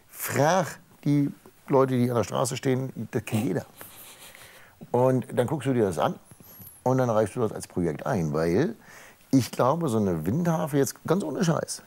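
A man's voice: mid-range voice, expressive, unhurried, gravelly, warm tone. A man in his fifties hosting a talk show in a TV studio, chatting with guests in a joking, teasing way on a lapel mic. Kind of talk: joking casual studio chat.

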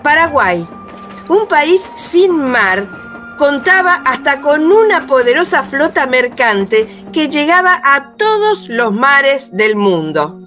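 A woman narrating a radio drama in a measured, explanatory way. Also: high-pitched, big pitch swings, fairly bright tone, soft background music.

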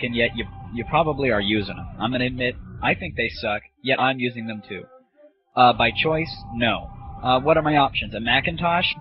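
A man hosting a comedy audio show, ranting casually in a sarcastic, rambling, profane way. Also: mid-range voice. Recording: muffled lo-fi 1990s internet audio. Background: music.

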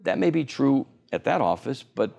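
An older man in his sixties speaks with a gravelly, creaky texture. He explains things eagerly, with plenty of fillers and restarts, as a guest in a television studio interview. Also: mid-range voice, sing-song, normal speed, a little mellow. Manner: enthusiastic, explanatory, frequent fillers. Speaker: oral surgeon guest.

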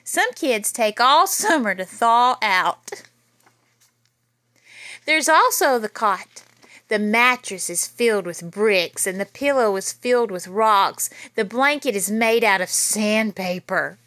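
A woman in her thirties reading a children's picture book aloud in a small bedroom, with lively storytelling and playful asides, recorded on a phone camera nearby. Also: medium pitch, slow, no room sound. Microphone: phone camera held nearby.

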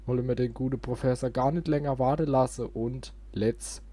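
A man's voice: medium pitch, fairly even intonation, moderate pace, dark tone, no room sound. A man doing casual let's play commentary, thinking aloud with playful little interjections. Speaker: let's player, channel host. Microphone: close desk microphone. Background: game music.

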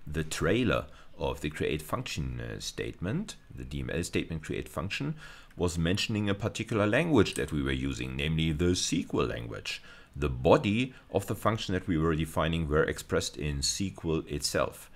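A middle-aged man with an extremely low voice delivers a technical university lecture. He explains in measured steps, hesitating as he goes and checking in with short tag questions.

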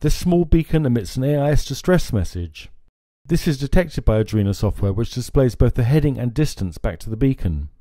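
A man explains equipment in a steady, informative manner. His voice is mellow and lively in pitch. It is recorded dry and close on a lapel mic in a small indoor showroom. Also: brisk, no echo. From France. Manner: steady, informative, gestures at screens. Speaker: marine electronics presenter. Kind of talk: scripted product explainer.

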